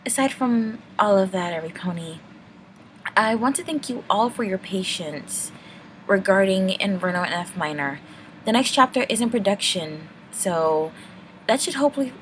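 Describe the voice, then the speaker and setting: A woman's voice: mid-range voice, animated, normal speed, slight background noise, poor audio. A young woman giving a casual, rambling channel update in a hesitant, apologetic, nervous way. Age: young adult.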